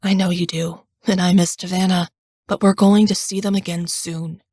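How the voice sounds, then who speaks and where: Lady doing a calm gentle teen boy, soft reassuring voice, dry. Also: lowish voice, normal speed, slightly rough, no echo. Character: gentle teenage boy comforting his sister.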